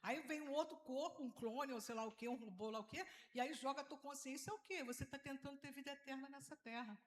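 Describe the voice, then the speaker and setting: A woman with a fairly high voice and a Carioca Brazilian accent preaches in a very roomy, echoing space. She speaks as a pastor in an informal, conversational way, repeating key phrases and often tagging them with short questions. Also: fast talker. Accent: Carioca Brazilian Portuguese. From Rio de Janeiro, Brazil. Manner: informal, repetitive emphasis with frequent tag questions. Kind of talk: conversational sermon to a congregation. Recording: roomy, echoing sound of a live talk.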